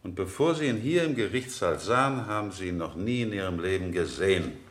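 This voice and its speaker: A man's voice: low-pitched, expressive, a little fast, a bit bright, reverberant. A man in a German radio drama playing an insistent defence lawyer, formal and pressing as he cross-examines. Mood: relentless. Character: insistent, sharp-questioning male defence lawyer in court.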